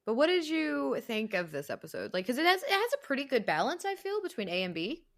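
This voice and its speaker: A woman's voice: high voice, fast talker, no echo, clean studio sound. A woman in her thirties with a Southern accent, co-hosting a podcast in chatty, casual talk. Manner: chatty, animated, swears casually. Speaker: podcast co-host. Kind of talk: casual chat.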